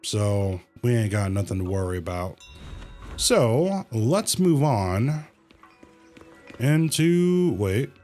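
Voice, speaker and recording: A man's voice: on the low side, sing-song, slow pace, pauses often, a little mellow, faint music behind. A man hosting a casual game livestream, rambling along and addressing his viewers as y'all. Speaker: livestream host. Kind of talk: casual game stream commentary.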